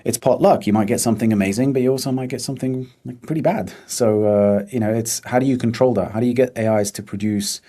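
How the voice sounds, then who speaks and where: A man's voice: mid-range voice, fast, few pauses, warm tone. A man chatting casually as a co-presenter, repeating phrases for emphasis in a choppy flow. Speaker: consultancy founder, co-presenter. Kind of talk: casual chat.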